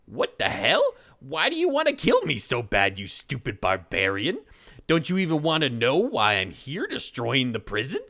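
Man doing a shrill sneering witch. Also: sing-song, moderate pace, hardly any pauses, a bit bright, no echo, clean studio sound. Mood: taunting. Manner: high sneering taunts. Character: mocking shrill female witch, nasty.

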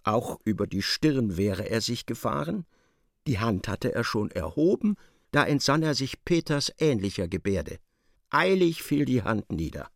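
Guy narrating a literary radio play, very lively melody, close dry sound, expressive. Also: mid-range voice, a little slow, vocal fry, no room sound.

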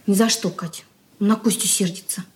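A woman's voice: medium pitch, steady tone, normal speed, a little hiss or hum, close to an everyday voice. An actress playing a chatty, curious, stubborn schoolgirl in a radio drama, girlish and conspiratorial. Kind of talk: Soviet radio drama stage acting.

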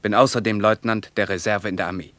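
Radio play: young Russian officer-teacher played by a man, probing and playful, lowish register, close dry sound. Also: fairly even intonation, fast, fairly bright tone, no echo, close to an everyday voice. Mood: frank, formal. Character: guarded, teasing young Russian teacher and reserve lieutenant. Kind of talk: German radio play dialogue.

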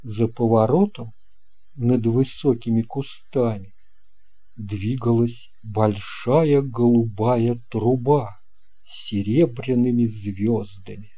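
A man narrating a children's story from a script in a measured, expressive storytelling manner. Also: medium pitch, husky. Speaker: audiobook narrator.

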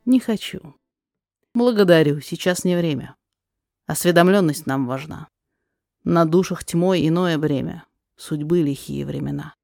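Woman as a solemn, lowish-voiced gentleman investigator. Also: a little slow, gravelly, long pauses, no room sound, studio quality. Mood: solemn, earnest. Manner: formal, earnest. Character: courteous, solemn gentleman investigator seeking a lost child. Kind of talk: rhymed verse drama reading.

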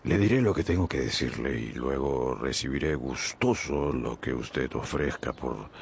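An actor playing a sly, oily thief in a radio drama, gravelly and insinuating. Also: male voice, a bass voice, unhurried, a little hiss or hum, heavily acted. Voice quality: gravelly, husky. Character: sly, oily old thief selling sinister secrets. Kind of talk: Spanish-language radio drama acting.

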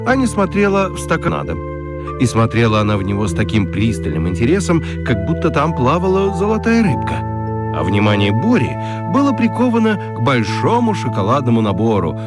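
A man narrating a children's radio play in an expressive, deliberate, wry storytelling style. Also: mid-range voice. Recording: dry studio with music underneath. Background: music.

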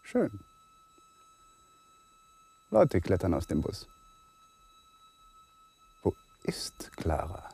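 An actor playing an anxious young lawyer in a fragmented, brooding inner monologue that drifts into whispers, in a radio play. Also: male voice, very high voice, huge pitch swings, very slow, gravelly, pauses often, warm tone, breathy edge, full character voice. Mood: dazed, haunted.

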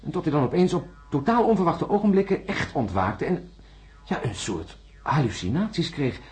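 An actor playing a shaken male astronaut in a radio play, telling his story in a hesitant, halting way. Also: on the high side, lively intonation, darkish tone, lightly acted. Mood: recounting. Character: shaken male astronaut recounting a jungle ordeal.

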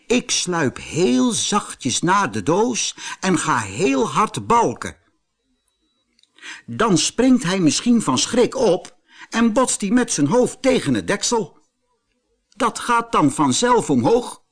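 Man doing an excited, high-pitched toy donkey. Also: slow pace, husky, heavily acted. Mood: scheming, eager. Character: sly, eager little wooden toy donkey.

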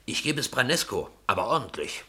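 A man acting in a German radio play as an eager, pliable newspaper writer with a husky voice, glib and quick to oblige. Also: mid-range voice, sing-song, sharp tone, heavily acted. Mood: glib, obliging. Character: eager, pliable male newspaper hack serving his boss.

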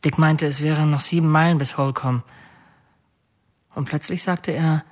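An actor playing a man who confesses to a burglary turned killing, recounting it in measured, matter-of-fact detail in an audio drama. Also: on the high side, steady tone, natural voice. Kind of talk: audio drama monologue, confession under questioning.

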